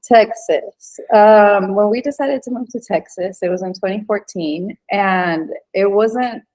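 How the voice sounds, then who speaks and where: A woman's voice: mid-range voice, a little slow, a little mellow, poor audio. A woman in her thirties from Venezuela, chatting casually as a podcast guest with many fillers and restarts. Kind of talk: casual interview chat.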